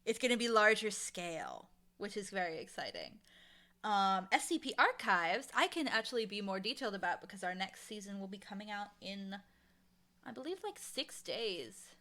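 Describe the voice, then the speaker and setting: A woman's voice: medium pitch, very animated, normal speed, sharp tone. A woman chatting casually as an interview guest, talking in an enthusiastic, emphatic way. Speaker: interview guest, audio drama showrunner and dialogue editor.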